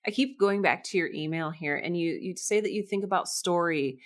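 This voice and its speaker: A woman's voice: fairly low pitch, fairly quick. A woman hosting a casual podcast interview, curious and engaged as she talks with her guest.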